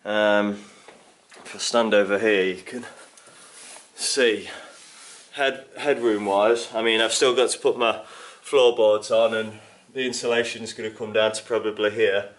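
A man's voice: on the low side, steady tone, a little slow. A young man filming himself on a handheld camera in a barn under construction, explaining his building work casually with fillers. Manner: explanatory, thinking aloud with fillers. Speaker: DIY builder vlogger. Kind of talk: casual walkthrough narration. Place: indoor barn under construction, stone walls. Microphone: handheld camera at arm's length.

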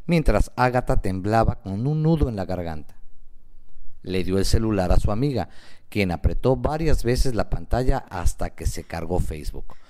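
Low-pitched male story narrator. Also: lively intonation, normal speed, darkish tone. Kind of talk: dramatic scripted story narration.

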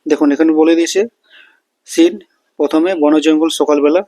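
Higher-pitched man explaining a tutorial. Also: steady tone, moderate pace, mellow, no room sound. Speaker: tutorial host. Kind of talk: casual step-by-step screen tutorial.

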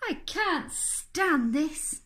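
A woman plays a worn-out father bear in a children's storybook, exasperated and long-suffering. The voice swoops high and stretches each word out slowly in emphatic complaint. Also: lively intonation, fairly bright tone, exaggerated voice. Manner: drawn-out, wailing, emphatic complaints. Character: tired, exasperated father bear from a picture book.

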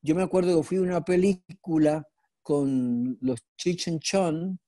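An older man from the Dominican Republic with a Dominican Spanish accent, telling stories as an actor guest over a video call, animated and halting with frequent restarts. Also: fairly high pitch, dry recording, studio quality. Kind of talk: casual storytelling interview. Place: home room indoors. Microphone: earbud headset on video call.

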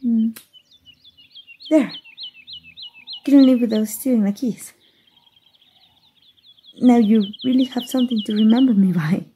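A woman's voice: fairly high pitch, unhurried, pauses often, warm tone, slight background noise, lightly acted. A woman voice actor voicing a playful, flustered young fairy in an ASMR roleplay, giggling and stammering shyly.

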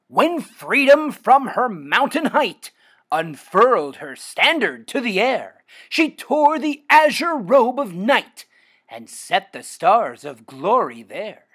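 Male poetry reader, theatrical and declamatory, big pitch swings, husky tone. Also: a bit bright. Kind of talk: dramatic poem recitation.

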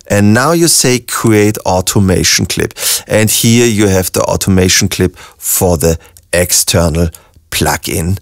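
Young man, Austrian German accent, lowish voice, calm tutorial explaining, dry close studio mic. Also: creaky, dry recording. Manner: calm step-by-step explaining, frequent ums. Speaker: music producer and tutorial host. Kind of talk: screen-recorded software tutorial.

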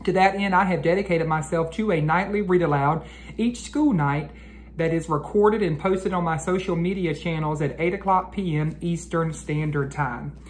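Echoey, high-voiced American man reading aloud. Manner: deliberate, carefully enunciated. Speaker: educator reading aloud to children. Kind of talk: children's read-aloud, expressive storytelling. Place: living room at home, indoors. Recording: roomy echo, clear background noise.

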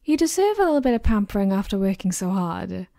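A young woman playing a caring, gently teasing girlfriend in an intimate ASMR roleplay, with a soft, breathy, reassuring delivery close to the mic. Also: medium pitch, sing-song, quick pace. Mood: playful, coaxing. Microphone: close binaural microphone.

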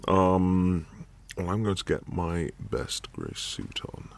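Man acting as teasing dominant boyfriend, ASMR roleplay, low voice, heavy vocal fry, breathy murmurs, intimate hums. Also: very animated, slow, dry recording, heavily acted. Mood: playful, teasing. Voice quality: breathy, gravelly murmur often dropping to whisper. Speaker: audio roleplay performer.

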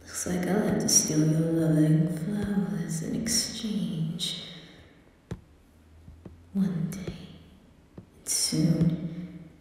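A woman voicing a cold, scheming male demon butler, menacingly polite in a hushed half-whisper. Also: low voice, steady tone, extremely slow, long pauses, heavy reverb, in a large hall, far from the mic, slight background noise. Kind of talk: ASMR anime roleplay.

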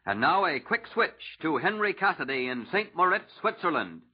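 A man's voice: fairly high pitch, very animated, normal speed, slightly rough. A man anchoring a 1940s radio news program, introducing correspondents on a muffled vintage recording.

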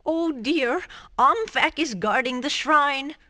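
A woman's voice: high-pitched, slow pace, bright, forceful tone, heavily acted. A woman voicing a young princess in a cartoon game, fretting over bad news. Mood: worried. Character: young princess narrating a magic vision. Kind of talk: 1990s cartoon game voice acting.

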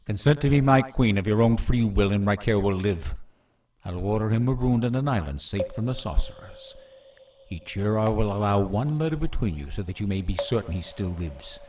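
An actor playing a cruel, arrogant, power-hungry young prince in a radio play, with an imperious, theatrical delivery. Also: male voice, fairly low pitch, expressive, moderate pace, a little mellow, recorded from a distance, slight background noise, lightly acted. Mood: menacing, gloating. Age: young adult.

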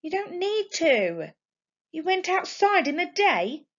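Woman acting a sulky young girl, storybook, raised pitch, muffled recording. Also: very animated, slow pace, exaggerated voice. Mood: indignant. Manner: sulky, indignant. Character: defiant young girl, moody and protesting. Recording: dull lo-fi home recording.

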